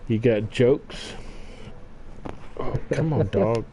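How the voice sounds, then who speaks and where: A man's voice: mid-range voice, slow, warm tone. An American man chatting casually and folksily as he plays a game, joshing with a friend. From United States. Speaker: gaming channel host. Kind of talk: casual chat while playing a farming game. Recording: faint background noise.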